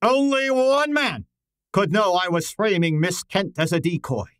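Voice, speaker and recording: A man acts out a brilliant, pompous detective in a radio mystery. The voice is high for a man and slow, with every formal phrase precisely placed, recorded close and dry. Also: lively intonation, fairly bright tone, dry recording. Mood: accusing, triumphant. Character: pompous, brilliant male private detective, theatrical and exacting. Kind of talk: old-time radio detective drama acting.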